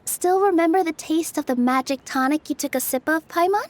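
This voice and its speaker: Gentle, high-voiced young heroine. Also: female voice, brisk. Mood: bright, helpful. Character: gentle, earnest young woman dancer with newfound fairy-tale magic. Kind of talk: English game dub voice acting.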